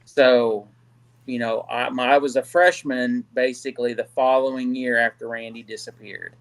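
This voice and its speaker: Man from Kansas, live-stream guest, average pitch, halting with lots of "you know.". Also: fairly even intonation. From Kansas City, Kansas. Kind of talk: casual live-stream discussion.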